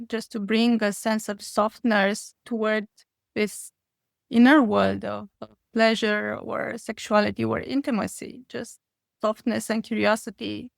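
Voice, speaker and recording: A woman's voice: mid-range voice, gravelly, no room sound, clean studio sound. A woman, speaking as a guest in a conversational interview. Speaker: podcast guest, psychotherapist.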